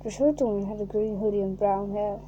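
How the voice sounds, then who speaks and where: A woman reading a fan fiction aloud in a mocking way, reading flatly and then bursting into shrieking, laughing asides. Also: medium pitch, fairly quick, highs cut off, a little hiss or hum.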